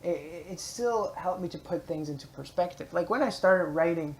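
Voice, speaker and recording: A man's voice: a little high, moderate pace, slight background noise, low-quality recording. A man with a German accent gives an earnest, rambling motivational talk as a vlogger, with many filler words, speaking from a public library space.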